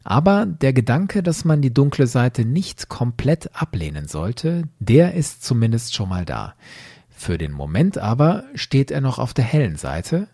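A man's voice: medium pitch, sing-song, unhurried, gravelly, warm tone, breathy edge, no room sound, clean studio sound. A man hosting a podcast, giving structured, explanatory commentary in a prepared narration style. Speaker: podcast host.

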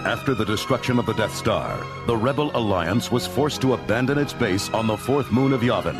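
A man's voice: medium pitch, animated, moderate pace. A man narrating a 1980s audio drama in a movie-trailer style. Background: music.

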